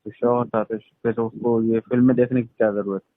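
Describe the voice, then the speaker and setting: Man, casual questioner on a recorded phone call; narrow, tinny audio, medium pitch. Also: little pitch movement, normal speed, no room sound. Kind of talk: casual phone chat, asking probing questions. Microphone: telephone line.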